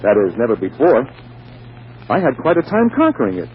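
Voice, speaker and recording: An actor playing a shy, bumbling, lovestruck bachelor who stammers earnestly in a 1940s radio comedy. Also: male voice, mid-range voice, huge pitch swings, a little fast. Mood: flustered. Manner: hesitant, stammering. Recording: muffled, noisy 1940s radio recording.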